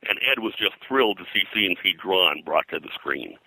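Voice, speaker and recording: Older man, very sharp bright tone, dry close sound, digressive storytelling, hesitant phrasing. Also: low voice, expressive, moderate pace, vocal fry, no room sound, low-quality recording. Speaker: pop culture historian, interview guest. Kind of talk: anecdotal interview talk.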